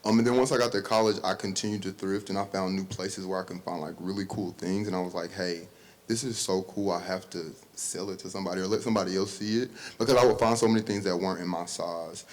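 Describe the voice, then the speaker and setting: Man from mississippi, very deep voice, laid back, podcast guest. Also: steady tone, fast talker, rough recording. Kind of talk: casual conversation.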